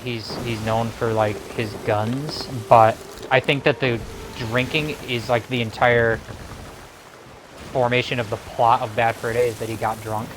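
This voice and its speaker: A man co-hosting a game stream, chatting and explaining in a casual, somewhat halting way. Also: mid-range voice, fairly even intonation, noisy background. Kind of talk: casual game commentary and chat.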